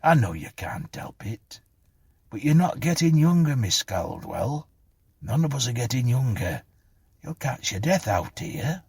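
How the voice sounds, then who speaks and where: A man voicing a kindly, weary policeman in a storybook, blunt and gently scolding. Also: medium pitch, sing-song, a little slow, gravelly, slightly rough, dry recording, studio quality, pitched above the performer's own voice, heavily acted. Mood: concerned. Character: kindly, weary male policeman on night beat.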